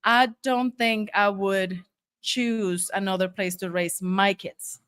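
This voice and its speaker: A woman's voice: medium pitch, steady tone, slow, fairly bright tone, smooth, no room sound, studio quality. A middle-aged woman from Monterrey, Mexico, a native Spanish speaker, telling her story casually and emphatically as an interview guest. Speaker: guest, taco shop owner.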